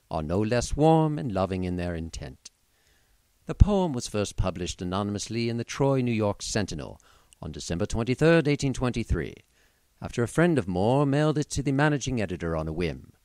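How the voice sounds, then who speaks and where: A man's voice: fairly low pitch, darkish tone, smooth, no room sound. A man giving a formal, literate scripted radio introduction as the announcer.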